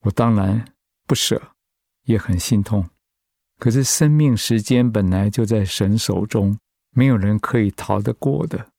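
An actor playing a gentle, steadfast, reassuring middle-aged father in a Mandarin radio drama. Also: on the low side, measured pace, gravelly, no room sound, clean studio sound. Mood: steady, reassuring.

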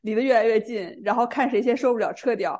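A woman's voice: fairly high pitch, quick pace, a little mellow. A woman co-hosting a podcast in a casual, chatty style. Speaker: podcast co-host. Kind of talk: casual chat.

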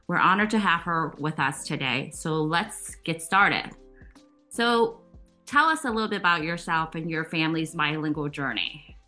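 A woman co-hosting a podcast, reading a scripted introduction of the guest in an even delivery. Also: low-pitched, normal speed, faint music behind. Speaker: co-host.

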